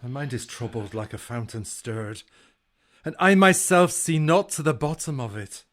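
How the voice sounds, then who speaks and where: An actor playing a haughty Greek warrior lord in a Shakespearean audio drama, with a grand, relishing delivery. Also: male voice, mid-range voice, expressive, normal speed, close to an everyday voice. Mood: brooding.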